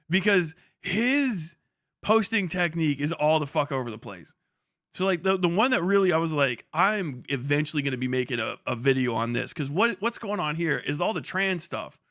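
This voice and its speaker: A man's voice: a little high, bright, forceful tone, no echo, studio quality. A man ranting on a livestream in profane, incredulous commentary, often laughing as he talks. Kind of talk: casual livestream commentary rant.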